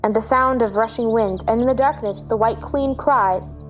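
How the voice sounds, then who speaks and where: A woman narrates in a fairly high voice, reading stage directions as scripted storybook narration. Faint music runs behind her. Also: normal speed.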